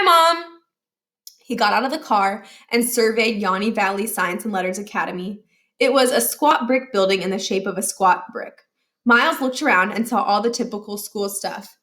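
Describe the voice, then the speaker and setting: A woman reading a children's novel aloud as an expressive storyteller. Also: medium pitch. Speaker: storybook reader.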